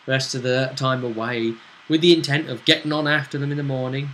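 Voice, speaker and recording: A man recapping a tabletop role-play session as game master, casually, in a halting, playful way. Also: mid-range voice, fairly even intonation, a bit bright, slight background noise.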